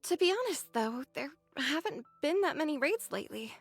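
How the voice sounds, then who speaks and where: Very high, girlish, animated android. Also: moderate pace, dry recording, faint music behind. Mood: perky. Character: girlish, expressive, squeamish young female android. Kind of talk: anime game voice acting.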